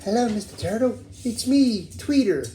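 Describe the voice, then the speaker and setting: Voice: mid-range voice, lively intonation, normal speed, dark tone, roomy sound, a little hiss or hum, natural voice. An amateur puppeteer voicing a carefree, friendly little bird in a puppet show. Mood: carefree, soft.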